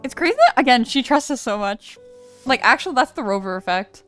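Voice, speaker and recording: A woman's voice: on the high side, brisk, a bit bright. A young woman giving casual, slangy let's play commentary, speculating aloud as she goes. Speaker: gaming streamer. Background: game music.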